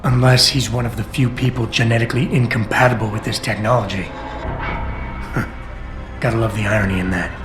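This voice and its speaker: A man playing a blunt, low-voiced augmented agent. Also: fairly even intonation, a little fast, long pauses, close to an everyday voice. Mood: confrontational. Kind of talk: video game dialogue. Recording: roomy game audio with music.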